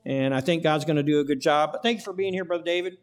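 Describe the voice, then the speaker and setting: A man's voice: on the high side, fast talker. A man pastoring a church, giving announcements and praying, folksy, repeating words with many fillers. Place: church sanctuary.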